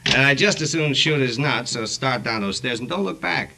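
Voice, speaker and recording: An actor playing a curt, threatening nightclub crook in 1940s radio crime drama, clipped and tough. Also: male voice, medium pitch, expressive, normal speed, creaky, pushed and bright, exaggerated voice. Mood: menacing. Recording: noisy old 1940s radio recording, clicks.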